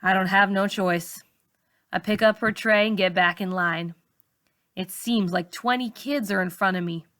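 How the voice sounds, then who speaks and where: A woman reading a novel aloud in expressive narration, like a teacher reading to students. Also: mid-range voice, normal speed. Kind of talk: expressive audiobook-style narration.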